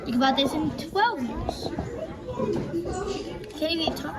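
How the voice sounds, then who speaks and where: A woman's voice: high-pitched, animated, a little slow. A ten-year-old girl giving a class presentation, hesitant and restarting her sentences. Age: child, about ten. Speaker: student presenter. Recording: loud crowd and noise behind.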